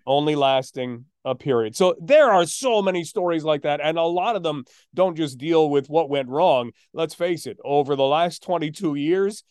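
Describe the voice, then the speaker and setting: A man hosting a sports podcast, telling stories conversationally with a deliberate, emphatic build-up. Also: a little high, a little mellow, clear, resonant tone, no echo, studio quality. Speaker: podcast host and sports announcer.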